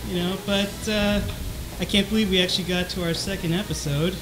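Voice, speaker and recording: A man's voice: high voice, steady tone, brisk, music in the background, poor audio. A man co-hosting a band podcast in casual chat, with a light, trebly voice and a hesitant, self-deprecating way of talking full of restarts. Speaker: podcast co-host, bass player.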